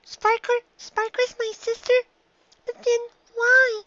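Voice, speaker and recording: An earnest little cartoon pony girl, speaks in a tiny, extremely high voice. She sounds anxious and plaintive, full of questions, in a storybook reading with dull, lo-fi sound. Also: level tone, takes it slowly, pushed and bright, exaggerated voice. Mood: shocked. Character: earnest, anxious little unicorn filly daughter. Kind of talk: fan fiction audiobook character voice.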